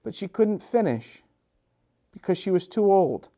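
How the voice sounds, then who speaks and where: A middle-aged man reads a picture book to children expressively, drawing out repeated phrases with gentle, dramatic emphasis. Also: sits high, very animated. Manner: gentle, drawn-out, sing-song repetitions with dramatic emphasis. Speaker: storytime host and children's author.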